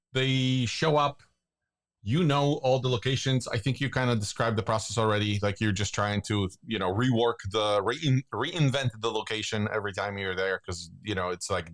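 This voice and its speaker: A man's voice: lowish voice, moderate pace. Agreeable podcast host man from Poland. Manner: agreeable, lots of yeah, rambling questions. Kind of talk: casual interview.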